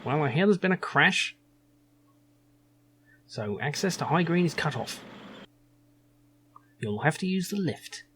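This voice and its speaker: A man's voice: a little high, expressive, unhurried, pauses often, fairly bright tone, a little hiss or hum. Man doing a fussy, proud factory worker. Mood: matter-of-fact. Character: proud, chatty male factory supervisor.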